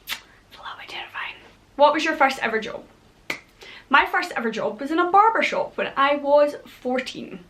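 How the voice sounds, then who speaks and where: A woman's voice: high-pitched, lively intonation, normal speed, a bit bright. A Scottish woman in her thirties chatting casually to camera as a vlogger, bubbly and chatty, with playful whispered asides. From Scotland. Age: 30s.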